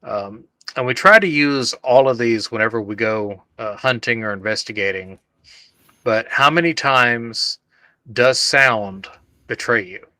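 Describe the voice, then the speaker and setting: A man's voice: medium pitch, sharp tone, dry recording. A man with a self-described droning voice, co-hosting a casual livestream chat about sound and recording. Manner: droning, unhurried delivery. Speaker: livestream co-host.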